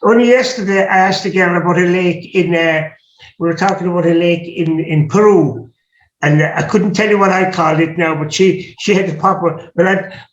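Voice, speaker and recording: High-voiced Irish man in an echoey room. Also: normal speed. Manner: rambling, repeats and restarts words, musing aloud. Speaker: host. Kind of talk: casual interview chat. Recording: echoey room, dull lo-fi sound.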